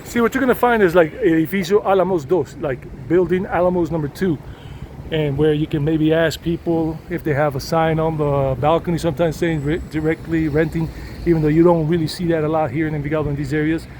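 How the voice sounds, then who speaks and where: A chatty middle-aged man narrates a walking tour, thinking out loud as he strolls. His voice sits a little high. Cars and street noise come through clearly around him. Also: fairly even intonation, a little fast. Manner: chatty and rambling, switching into Spanish with locals. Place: city street outdoors. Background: traffic and street noise.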